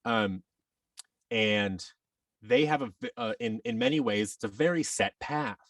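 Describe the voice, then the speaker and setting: A man with a fairly low voice speaks as an interview guest, a little away from the microphone. His delivery is casual and rambling, with emphatic points and little acted-out quotes along the way. The room adds very little echo. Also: huge pitch swings, measured pace, gravelly, fairly bright tone, studio quality, distant mic. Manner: rambling, emphatic, often acts out hypothetical quotes. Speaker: podcast guest, actor. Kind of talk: casual interview talk giving advice.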